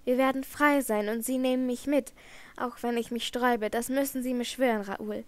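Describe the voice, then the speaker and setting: A frightened young singer pours out her dread in anguished, trembling tones, as a woman reading a storybook voices her. The voice sits a little high and rises higher in her distress. It is plain audiobook reading. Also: fairly even intonation, normal speed, a little mellow, smooth, no echo, close to an everyday voice.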